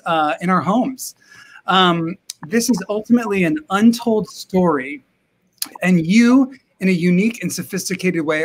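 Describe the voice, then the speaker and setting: A man in his forties hosting a live video-call interview from home, enthusiastic and full of fillers. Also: sits high. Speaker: host. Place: home room with brick wall. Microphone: video call webcam.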